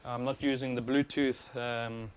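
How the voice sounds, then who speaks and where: A man's voice: medium pitch, measured pace. A man with a South African accent giving casual, informal and explanatory live stream commentary on a wireless worn microphone. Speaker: livestream host, overclocker. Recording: clear steady background noise.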